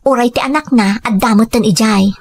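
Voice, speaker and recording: Woman acting an ambitious young sweetheart, high-voiced. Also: fairly quick. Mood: confiding. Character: ambitious young woman weary of poverty, firm yet apologetic. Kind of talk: Ilocano radio drama acting.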